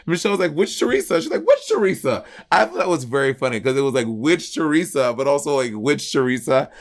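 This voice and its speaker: A man in his thirties with an American accent co-hosting a casual review chat, retelling moments in an animated way with acted-out quotes. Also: a little high, fast talker. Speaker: podcast co-host. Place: small indoor room at home.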